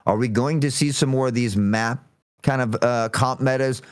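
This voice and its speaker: A man working as an esports caster, calling a live match play by play in a measured, orderly way. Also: medium pitch, clean tone, dry recording, studio quality.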